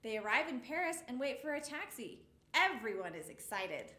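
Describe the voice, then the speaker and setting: A woman in her thirties reading a picture book aloud to young children, with expressive exclamations, in a small studio. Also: on the high side, normal speed, echoey room. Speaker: storytime reader. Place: small indoor studio with a rainbow mural.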